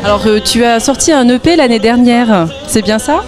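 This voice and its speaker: A woman's voice: fairly high pitch, moderate pace, music in the background. A woman interviewing for local TV at a concert, asking upbeat, direct questions. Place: concert venue. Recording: crowd chatter at a concert.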